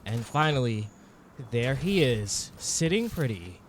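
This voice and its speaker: A man narrating video game footage in a dramatic, documentary-like storytelling style with formal, measured wording. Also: mid-range voice, sing-song, a little hiss or hum. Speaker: game streamer narrating own hunt. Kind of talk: scripted-sounding nature documentary style game commentary. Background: game music under the voice.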